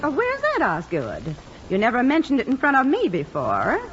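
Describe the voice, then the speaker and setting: A fluttery middle-aged wife in a vintage radio comedy. Her high voice swoops wildly up and down, on a dull old recording. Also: wildly swooping pitch, normal speed, a bit bright, a little hiss or hum, heavily acted. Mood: fretful. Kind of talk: old-time radio sitcom acting.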